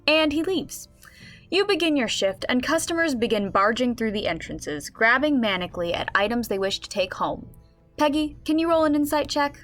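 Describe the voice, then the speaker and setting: A woman playing an expressive game master, narrating a fantasy story in a scripted radio drama. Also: sits high, normal speed, faint music behind. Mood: storytelling.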